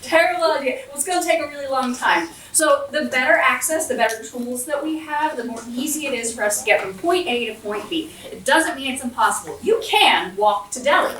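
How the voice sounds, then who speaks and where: A high-pitched American woman speaks loudly to a roomful of people, her voice projecting as she walks back and forth. She is picked up from a distance, so her voice sounds roomy with echo and a faint hiss underneath. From Southwestern West Virginia, USA. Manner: loud, projecting, walks around while speaking. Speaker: guest speaker and center director. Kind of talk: lively motivational talk to an audience. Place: large indoor event room. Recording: echoey room, voice from far off, faint noise.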